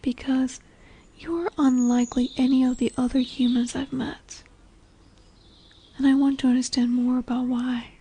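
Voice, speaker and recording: A woman voicing a dominant but tender lamia in a fantasy roleplay audio drama, speaking softly and soothingly, often dropping into whispers. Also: high-pitched, steady tone, moderate pace, slightly rough. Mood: gently commanding. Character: dominant, tender female lamia, snake-bodied forest monster.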